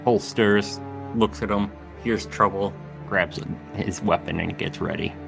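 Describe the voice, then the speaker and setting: A man's voice: lowish voice, unhurried, gravelly, music in the background. A man speaking casually as a player in a tabletop roleplaying game.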